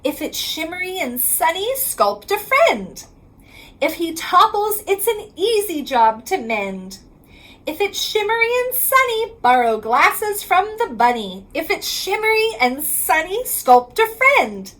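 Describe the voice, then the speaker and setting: Very high-pitched woman reading a picture book. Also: big pitch swings, a bit bright. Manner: sing-song rhyming delivery, drawn-out line endings. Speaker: reading specialist reading aloud to children. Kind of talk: picture book read-aloud.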